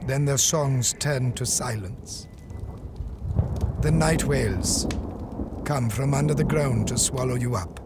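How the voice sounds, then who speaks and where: An actor playing a cryptic, solemn desert guide in an audio drama, with poetic, ominous phrasing. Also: male voice, medium pitch, takes it slowly, pauses often, very noisy, lightly acted. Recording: loud outdoor ambience behind voice.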